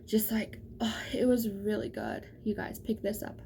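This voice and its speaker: A woman's voice: medium pitch, very animated, normal speed, vocal fry, mellow, in a whisper. A woman book vlogger talking casually and emphatically straight to her viewers, in an informal, gushing way. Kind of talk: casual chatty book review to camera.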